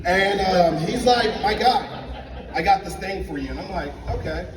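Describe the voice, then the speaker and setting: A young man from Liberia performing a stand-up comedy set in a club, telling animated stories and acting out both sides of the dialogue. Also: sits high, moderate pace, crowd noise. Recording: echoey club sound from a distance. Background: audience laughter.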